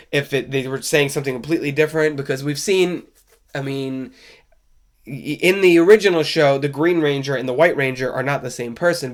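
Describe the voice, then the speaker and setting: Man, stuffy from a cold, slightly high voice, wry rambling podcast host. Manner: wry, conversational, loose and rambling. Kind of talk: casual solo film review chat.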